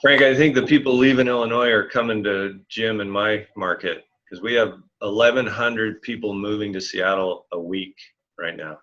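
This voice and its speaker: A man with a lowish voice facilitates a group meeting casually. He sounds like the host of a conference call, and the audio is a little dull and lo-fi. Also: steady tone, normal speed, fairly bright tone.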